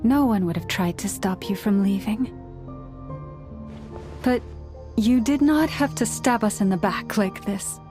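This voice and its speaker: Slow, solemn priestess, acted by a woman. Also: medium pitch, natural voice. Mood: resolute. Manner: measured, earnest, solemn. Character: gentle, solemn priestess-like moon chanter, earnest young woman. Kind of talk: video game voice acting. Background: background music.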